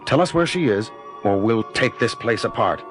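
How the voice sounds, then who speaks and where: An actor playing an urgent, commanding moonbase commander in a 1970s audio drama. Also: male voice, fairly low pitch, lively intonation, moderate pace, natural voice. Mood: stern, threatening. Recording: music under the voice.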